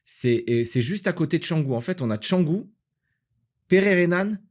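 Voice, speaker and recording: A man's voice: on the high side, expressive, moderate pace. A man from the south of France hosting a casual podcast as a property agent, explaining things by asking and answering his own questions. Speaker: host, real estate agent.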